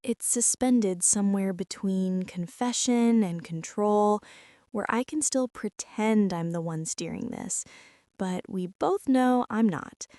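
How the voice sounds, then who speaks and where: A woman as an insecure woman boss, hushed and halting with whispers, very slow and dry, ASMR girlfriend roleplay. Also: mid-range voice, no room sound, natural voice. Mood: confessional. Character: insecure woman CEO confessing feelings to an employee.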